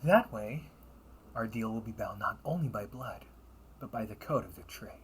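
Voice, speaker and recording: A man playing a smooth, scheming older brother who brokers information for a crime syndicate, teasing and theatrical in an audio roleplay. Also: on the low side, lively intonation, slight background noise, close to an everyday voice. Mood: satisfied, smug. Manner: silky, teasing, unhurried, theatrical.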